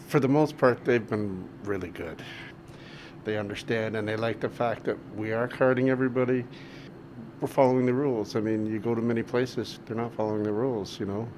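A man's voice: medium pitch, background noise. A man who co-manages a cinema, speaking in a plain, matter-of-fact way in an interview recorded in a theatre lobby. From Ontario, Canada. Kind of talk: casual interview.

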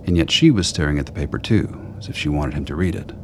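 Male narrator for scripted radio drama, extremely low bass register, clearly noisy background. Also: animated, fast talker.

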